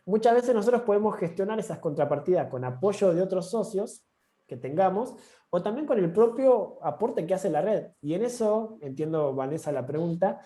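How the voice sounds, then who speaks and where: Mellow-voiced Argentine man teaching online. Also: high-pitched, animated, normal speed. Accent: Argentine Spanish. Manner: informal, voseo, frequent restarts. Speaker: course instructor. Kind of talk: online training session.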